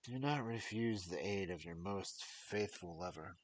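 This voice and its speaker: A man's voice: sits low, lively intonation, measured pace, a little hiss or hum, poor audio. A man voicing a devoted young king, earnest and desperate, while reading a fairy tale aloud. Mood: pleading.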